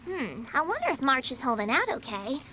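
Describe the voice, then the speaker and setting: A woman's voice: sits high, very animated, brisk, sharp tone, slight background noise, heavily acted. A woman voicing a feisty young forest girl in a game cutscene, talking in a blunt, chatty way. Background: game soundtrack music.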